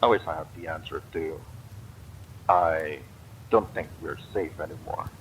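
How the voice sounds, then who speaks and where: A man voicing a calm, grave administrator of a space pod, speaking in a measured, matter-of-fact way. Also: very deep voice, slight background noise, low-quality recording.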